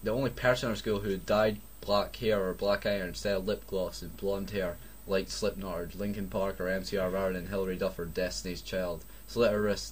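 Man, Scottish accent, low-pitched, sweary, mocking read-aloud with exclaimed asides, background noise. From Scotland. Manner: sweary, exclaiming, breaking off to react. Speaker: reader and commentator. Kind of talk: mocking fan fiction read-aloud with casual banter.

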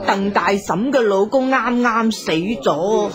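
An actress playing a gossipy village auntie in a radio drama. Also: female voice, medium pitch, slow, lightly acted. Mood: gossiping. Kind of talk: Cantonese radio drama acting. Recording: busy crowd behind.

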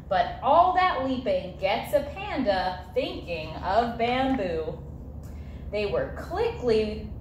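A woman in her thirties reading a children's picture book aloud in an animated, sing-song storytelling manner. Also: a little high. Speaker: zoo educator and story reader. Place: zoo viewing area beside glass habitat. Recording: roomy echo, light background noise.

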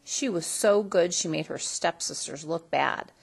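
A woman reading a children's story aloud in an expressive storytelling style. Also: fairly low pitch, measured pace, creaky, slightly rough. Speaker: storybook reader.

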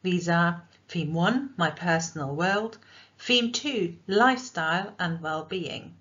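A woman's voice: fairly low pitch, slow, fairly bright tone. Brief: female languages teacher, explanatory tone, muffled lo-fi recording. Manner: clear, explanatory teacher delivery. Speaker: subject leader for languages. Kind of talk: school curriculum presentation.

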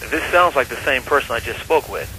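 A man's voice: mid-range voice, animated, fairly quick, pushed and bright. A man answering a church phone, firm and questioning, on a slightly noisy call recording. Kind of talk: phone conversation.

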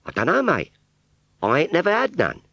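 A man's voice: a little high, wildly swooping pitch, moderate pace, pushed and bright, dry recording, dull audio, voice pushed up, full character voice. A man voicing a giggly young female scarecrow with a Cockney accent in a storybook reading. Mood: cheeky. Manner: cheeky, giggly. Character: giggly young female plastic scarecrow, a former shop model.

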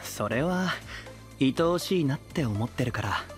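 A male actor playing a serene, lofty young idol in an audio drama, gentle and composed. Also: medium pitch, animated, measured pace, soft background music, close to an everyday voice. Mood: serene, affectionate. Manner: gentle, composed, refers to himself by name.

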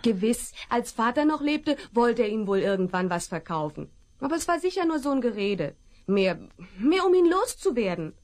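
An anxious rancher's daughter. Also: female voice, on the high side, sing-song, moderate pace, a little mellow. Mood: pleading. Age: young adult. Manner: pleading, anxious, tender. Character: young female rancher's daughter, frightened but kind. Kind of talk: 1970s German radio play acting.

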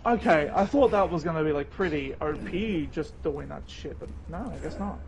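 A man's voice: fairly high pitch, lively intonation, normal speed, gravelly, a little mellow, slight background noise. Rambling man thinking aloud while gaming. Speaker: gamer. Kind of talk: casual co-op gaming chat.

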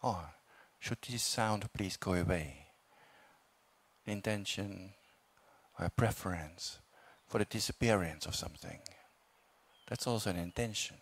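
A man with a low-pitched voice teaches meditation. He speaks calmly and without hurry, giving gentle instructions in short phrases and leaving long pauses between them. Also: slightly rough. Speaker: meditation teacher, Buddhist monk.

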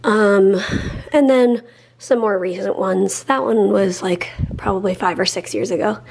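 A woman in her thirties, a vlog co-host, chatting in an animated way to a handheld camera in her kitchen, saying "like" often. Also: mid-range voice, unhurried, creaky, breathy edge. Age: 30s. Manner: chatty, animated, says "like" a lot. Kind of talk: casual vlog chat. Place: home kitchen. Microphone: handheld camera at arm's length.

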